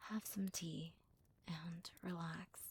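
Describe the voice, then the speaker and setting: A woman playing a devoted, deferential servant in an ASMR roleplay, with a soft, breathy, half-whispered voice. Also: on the low side, little pitch movement, takes it slowly, slight background noise. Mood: concerned, soothing. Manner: gentle, deferential, hesitant. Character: devoted, doting young female servant to her master.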